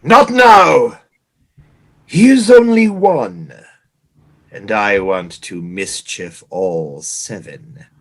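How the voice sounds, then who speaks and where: An actor playing a menacing, vengeful pirate captain in an audio play, speaking in a deliberate, theatrical way. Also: male voice, lowish voice, fairly bright tone, exaggerated voice. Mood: commanding.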